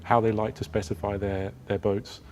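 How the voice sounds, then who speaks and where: A man answering interview questions about a yacht dealer network, measured, with frequent ums and restarts. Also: lowish voice, level tone, darkish tone, big-room sound. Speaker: company spokesman.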